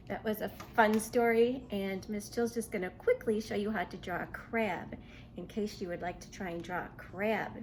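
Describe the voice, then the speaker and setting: A woman reading a picture book aloud to young children in an expressive, questioning way. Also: mid-range voice, slight background noise, rough recording. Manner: expressive, asks the listener questions. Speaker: children's storybook reader.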